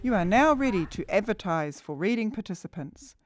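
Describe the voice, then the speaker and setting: A woman's voice: mid-range voice, expressive, brisk. A woman reading scripted instructional narration for a training video.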